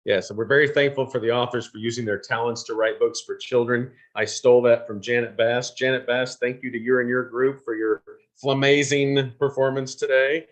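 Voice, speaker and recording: A man's voice: mid-range voice, steady tone, normal speed. A man hosting an informal online award event, reading out questions and giving thanks.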